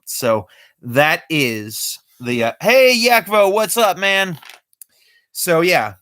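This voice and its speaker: A man's voice: high voice, big pitch swings, slow, pushed and bright, no room sound, clean studio sound. A man chatting casually on a live stream, explaining his magic tricks step by step. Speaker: amateur magician.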